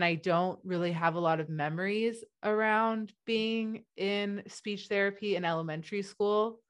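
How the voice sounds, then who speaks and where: A woman's voice: low voice, clear, resonant tone. A woman whose first language is Hebrew, a guest on an interview podcast, speaking with a stutter, with repeated sounds and restarts, from her home office over a video call. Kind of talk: interview conversation.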